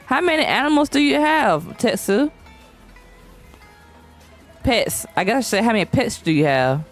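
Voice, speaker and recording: A woman's voice: on the high side, very animated, moderate pace, faint music behind. A young woman streaming a game, chatting casually and reading chat aloud in slangy, playful talk. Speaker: VTuber streamer. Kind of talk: casual live-stream chat while gaming.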